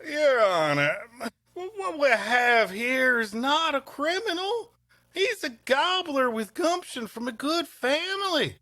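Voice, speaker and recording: Man doing a high, swooping defense lawyer. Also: big pitch swings, takes it slowly, sharp tone, no room sound, studio quality, full character voice. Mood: pleading. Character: pompous, indignant male defense lawyer pleading for a client.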